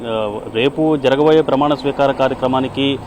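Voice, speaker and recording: Halting male reporter on a noisy line. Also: mid-range voice, a little mellow. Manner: halting, repetitive, with many drawn-out hesitations. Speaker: news correspondent. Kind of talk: unscripted live news report.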